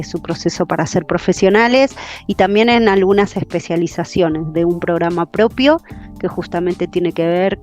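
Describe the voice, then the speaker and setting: A woman from Argentina with a Buenos Aires accent, a coaching trainer telling her story in an interview, talkative and quick to laugh. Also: fairly low pitch, darkish tone. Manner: talkative, laughs often, self-correcting.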